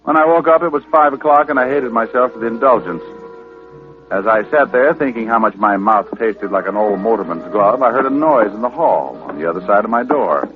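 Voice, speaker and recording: Actor as wry private eye, muffled radio sound. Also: male voice, mid-range voice, lively intonation, a little fast, faint music behind, lightly acted. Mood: wry narration. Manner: dry, sardonic. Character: wisecracking hard-boiled private detective, sardonic and unflappable. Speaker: lead detective and narrator. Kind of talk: 1940s-50s radio detective acting. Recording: old radio broadcast, very narrow muffled band.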